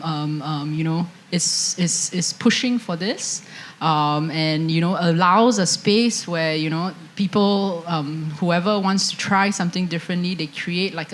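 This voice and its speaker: A woman's voice: on the low side, a little hiss or hum. A woman with a Singaporean accent speaking as a surgeon on a panel, hesitant and full of restarts, into a handheld mic at an indoor event. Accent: Singaporean English. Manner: hesitant, many fillers and restarts. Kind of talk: panel discussion answer. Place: indoor event stage with audience. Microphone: handheld microphone.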